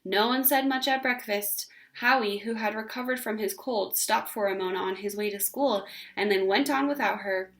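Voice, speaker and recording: A woman narrating a children's story aloud in an expressive read-aloud style. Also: mid-range voice, moderate pace, hardly any pauses.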